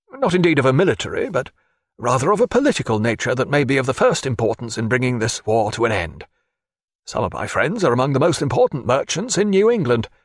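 A husky, high-pitched merchant frets and fusses in a voice that leaps up and down. He pleads and grumbles by turns, easily worked up, as a narrator puts him on. The recording is close and dry. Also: no echo. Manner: fussy, excitable, pleading. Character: fretful middle-aged Boston merchant man. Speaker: former loyalist and merchant.

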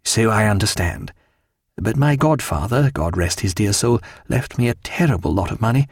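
A man voicing a learned, dry-humoured ship's surgeon and fond husband, with formal, measured period phrasing. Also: on the low side, expressive, creaky, slightly rough, dry recording, full character voice. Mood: reassuring, wry.

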